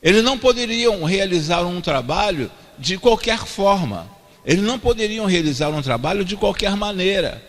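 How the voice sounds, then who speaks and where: A Brazilian man preaching in a church, his fairly high voice gravelly with fry. He repeats each point twice, driving it home. Long reverb from the hall trails behind his words. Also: expressive, moderate pace. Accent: Brazilian Portuguese. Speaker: pastor. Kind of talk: expository sermon. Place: church sanctuary.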